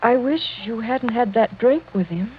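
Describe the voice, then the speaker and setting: Woman playing an anxious, high-voiced young noblewoman. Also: animated, moderate pace, close to an everyday voice. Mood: pleading concern. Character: anxious, devoted young noblewoman, the count's niece. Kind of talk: 1940s radio mystery acting. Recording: muffled, noisy 1940s radio recording.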